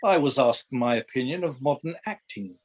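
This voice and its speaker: A man's voice: mid-range voice, expressive, normal speed, darkish tone, close to an everyday voice. Grumpy old playwright, acted by a man. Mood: indignant, wry. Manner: indignant, pompous, dryly witty. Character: grumpy seventy-five-year-old Victorian playwright, curmudgeonly and wry. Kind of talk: radio play acting.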